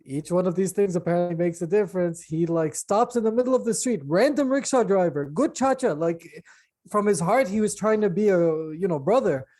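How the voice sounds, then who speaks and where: A man from the United States, a podcast co-host telling stories in casual chat, animated and jokey. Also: sits high, a little fast.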